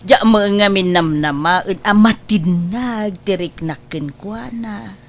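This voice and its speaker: A woman's voice: mid-range voice, unhurried, a little hiss or hum, natural voice. An actress playing a coy, lovestruck older woman in a comedy drama, giggly in manner. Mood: coy, sheepish. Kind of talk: Ilocano comedy drama acting.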